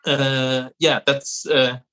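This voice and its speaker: A German-accented man in his thirties speaks over a video call, pausing often and filling the gaps with uhs and ums. He comes across as hesitant, a poet describing his own work. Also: medium pitch, little pitch movement, bright, forceful tone. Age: 30s. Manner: hesitant, many fillers. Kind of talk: casual video call chat, poetry reading.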